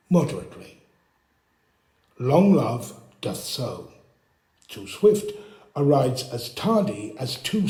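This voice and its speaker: A solemn, fatherly friar in a Shakespeare audio play, acted by a man. He speaks very deliberately, with expressive rises and falls, cautioning in verse. The phone recording rings with the echo of a room at home. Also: on the high side, heavily acted. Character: grave, cautioning male friar, fatherly priest. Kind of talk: Shakespeare audio drama acting.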